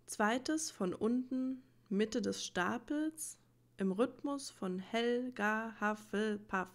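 Creaky-voiced woman doing let's play commentary. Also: on the high side, slow pace. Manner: thinks aloud in colloquial asides. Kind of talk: casual gaming commentary with read-aloud game text.

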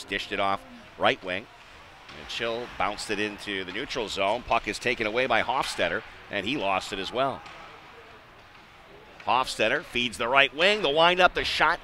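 An American man doing live radio-style play-by-play hockey commentary, measured in routine play and excitable on big plays. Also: fairly low pitch. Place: indoor ice arena. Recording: noisy live rink broadcast.

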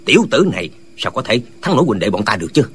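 A man's voice: lowish voice, sing-song, fast talker, fairly bright tone, slight background noise. Swooping, blustering clownish fighter played by a man. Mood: boastful. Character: boastful, quarrelsome, clownish martial arts eccentric brothers. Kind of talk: audiobook drama of a martial arts novel.

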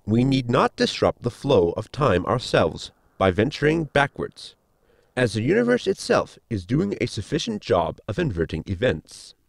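A man's voice: mid-range voice, sing-song, vocal fry, dry recording, clean studio sound. An actor playing a polite, precise spaceship science crewman in a comedy audio drama, speaking formally and earnestly. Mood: earnest, explanatory. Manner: formal, measured, explanatory.

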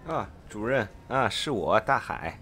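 A man's voice: fairly low pitch, lively intonation, a little slow, sharp tone, exaggerated voice. A man playing an eager, impatient purchasing agent in a parody radio drama, exclamatory and theatrical as he chums up to his boss. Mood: solicitous.

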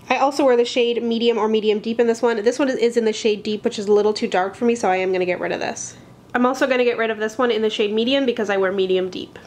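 A young woman in her twenties reviewing products in a casual vlog, talking chattily and upbeat, slightly stuffed up from a flu. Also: on the high side. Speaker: beauty vlogger. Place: bright room at home, indoors.

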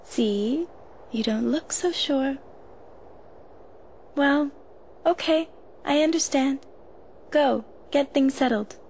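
A high-voiced, gentle young game heroine. She sounds soft, caring and earnest, with faint noise behind her. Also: takes it slowly, long pauses. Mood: concerned. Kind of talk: video game cutscene acting.

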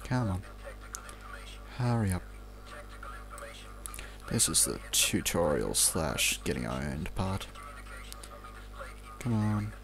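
Deep, creaky Australian man commentating a game. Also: very animated, slow pace, long pauses. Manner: rambling, offhand muttering, swears casually. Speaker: let's play commentator. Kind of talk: casual off-the-cuff video game commentary.

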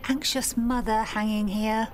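A woman's voice: a little high, fairly even intonation, unhurried, background noise, close to an everyday voice. A woman acting in a radio soap as an anxious but teasing middle-aged village mother. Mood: worried.

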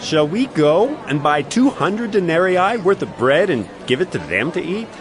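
An actor playing a concerned, practical male disciple in a dramatized scripture reading, questioning and animated. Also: a little high, moderate pace, crowd noise, background noise. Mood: doubtful. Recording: music bed behind voice.

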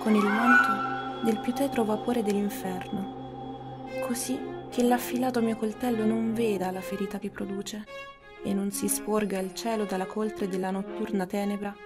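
A woman's voice: medium pitch, steady tone, measured pace, over music, lightly acted. An actress playing an ambitious, ruthless noblewoman in a Shakespearean radio drama, solemn and declamatory. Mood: hushed, brooding.

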